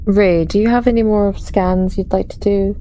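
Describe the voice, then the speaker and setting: A woman's voice: medium pitch, fairly even intonation, moderate pace, mellow, a little hiss or hum. A woman improvising in-character lines in a tabletop game, speaking firmly and matter-of-factly.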